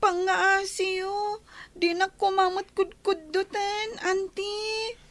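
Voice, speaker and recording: A woman's voice: very high voice, level tone, slow, a bit bright, exaggerated voice. A woman voicing a meek, mistreated young schoolgirl in a radio drama, hesitant and pleading. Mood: timid, hurt. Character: timid, mistreated grade-four girl, pleading and obedient.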